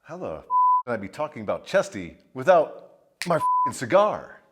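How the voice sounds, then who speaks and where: A man's voice: mid-range voice, huge pitch swings, moderate pace, very noisy. A man hosting a military storytelling show, delivering dramatic scripted tales with crude, swaggering, profane humour. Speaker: host of a military storytelling show, a first sergeant.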